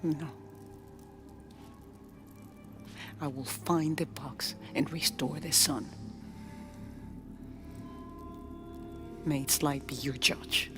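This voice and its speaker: A woman's voice: low-pitched, fairly even intonation, measured pace, over music. An actress playing a defiant imprisoned queen who speaks firmly and resolutely in a video game cutscene. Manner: firm, resolute.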